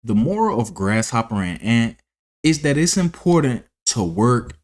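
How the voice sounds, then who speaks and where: A man narrating a scripted children's story as a read-aloud, deliberate and expressive. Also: mid-range voice. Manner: deliberate, expressive, enunciating for young listeners.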